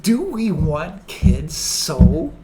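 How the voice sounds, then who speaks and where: A woman's voice: low-pitched, big pitch swings, extremely slow, dark tone, slight background noise, poor audio. A woman hosting a conversational interview, introducing her guest and asking questions with short encouraging replies. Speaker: host.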